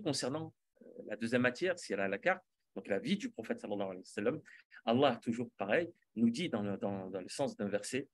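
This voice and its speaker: A man's voice: medium pitch, dry recording. A male teacher giving earnest, preacherly advice in a religious talk.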